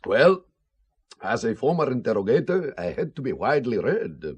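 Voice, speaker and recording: An actor playing a courtly, sardonic Soviet colonel in an audio drama, speaking formally and deliberately in a teasingly gallant way. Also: male voice, medium pitch, very animated, slightly rough. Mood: wry, teasing. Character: courtly, sardonic Soviet KGB colonel and former interrogator, wooing.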